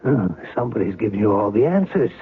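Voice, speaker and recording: A man's voice: lowish voice, sing-song, fast talker. An actor playing a bitter, sarcastic middle-aged ex-husband and spy in a 1970s radio drama, curt and biting in his delivery. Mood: bitter, impatient. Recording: tinny band-limited 1970s radio, faint music.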